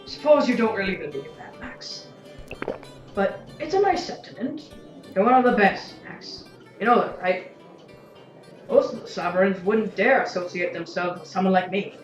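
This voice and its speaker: A woman's voice: mid-range voice, expressive, moderate pace, distant mic, lightly acted. A woman acting as a cynical, ambitious merchant in an audio drama. The delivery is wry and confiding. The sound is roomy and slightly dull, with music underneath. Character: cynical, ambitious self-made merchant councillor. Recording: echoey, dull recording with light music.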